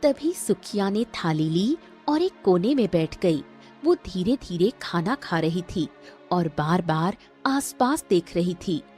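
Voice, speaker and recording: A woman narrating a scripted cartoon story in a dramatic, measured way. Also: on the low side, very animated, dark tone, faint music behind.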